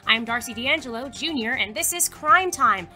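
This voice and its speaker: Bright, peppy teen news anchor girl, woman acting. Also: fairly high pitch, lively intonation, normal speed, soft background music. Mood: chirpy, formal. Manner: projected, presenterly. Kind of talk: school announcement newscast in audio drama.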